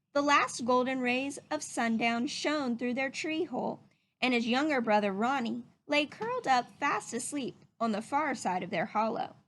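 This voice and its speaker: Female storybook reader, high and unhurried, expressive, clear explanations pitched at children. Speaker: read-aloud host. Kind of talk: storybook read-aloud with explanations.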